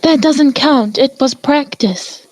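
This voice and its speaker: A woman's voice: sits high, takes it slowly, a little mellow, dry recording. A woman voicing a haughty, wrathful pony princess of the night in a fan fiction reading. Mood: pleading, flustered.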